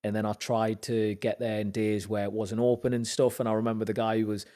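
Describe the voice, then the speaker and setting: Low-voiced Newcastle man telling stories. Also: brisk. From Zambia. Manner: animated storyteller acting out quoted dialogue, lifts in pitch when excited. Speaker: podcast guest, actor and musician. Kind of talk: casual storytelling chat.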